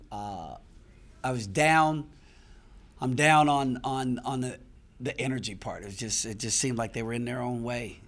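A middle-aged man, a basketball head coach, speaks frankly and with some frustration at a post-game press conference, restarting phrases as he thinks. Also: mid-range voice. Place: press room before a sponsor backdrop. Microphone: handheld mic on a stand close in front.

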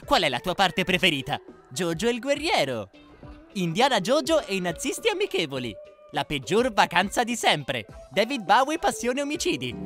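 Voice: mid-range voice, fairly bright tone. A narrator reading a scripted comedic parody in a sarcastic, emphatic way.

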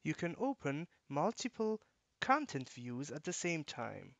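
A man giving scripted, measured narration for a software screencast tutorial, explaining features step by step. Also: fairly high pitch, very animated, creaky, no echo, muffled recording. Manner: measured, instructional.

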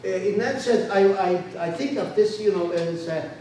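Older male guest speaker, halting and full of fillers, fairly high voice, roomy distant sound. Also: darkish tone. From Turkey. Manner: halting, many restarts and fillers. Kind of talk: academic lecture discussion. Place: large lecture room. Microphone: podium microphone, standing. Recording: echoey room, distant mic, faint noise.

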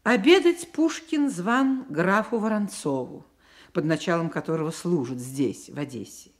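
A woman narrating a radio drama about a poet's life as an expressive literary storyteller. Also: fairly low pitch, unhurried. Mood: expressive storytelling.

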